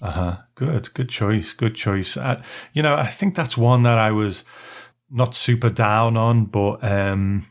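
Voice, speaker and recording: A man's voice: fairly low pitch, unhurried. A man co-hosting a podcast in a casual, easygoing, conversational way. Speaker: podcast co-host. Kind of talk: casual chat.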